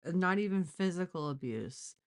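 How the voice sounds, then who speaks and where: A woman's voice: on the low side, expressive, moderate pace. A woman hosting a live stream, giving casual, blunt and mocking reaction commentary full of exclamations. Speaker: live stream host reacting to a crime case.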